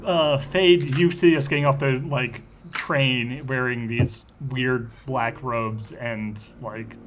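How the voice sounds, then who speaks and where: A man's voice: mid-range voice, fairly even intonation, slow, noisy background. A man hosting and playing a tabletop roleplaying game, chatting casually in a rambling, stammering, jokey way.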